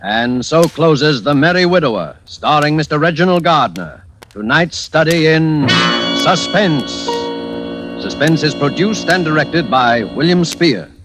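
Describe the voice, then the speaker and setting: A man's voice: fairly high pitch, lively intonation, measured pace. A man announcing a 1940s radio drama in a formal, dramatic, scripted style. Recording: vintage radio recording, music behind. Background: music.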